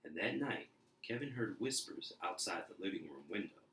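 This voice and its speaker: Male reader for a children's storybook, deep low register, expressive narration, audibly echoey room. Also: normal speed, slight background noise. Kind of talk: expressive storybook read-aloud. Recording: roomy, echoey indoor sound.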